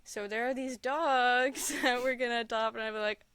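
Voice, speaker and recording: A woman's voice: a little high, steady tone, moderate pace, fairly bright tone, smooth, no room sound. Enthusiastic young woman chatting casually. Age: young adult. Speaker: podcast guest, content creator. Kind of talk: casual chat, personal storytelling.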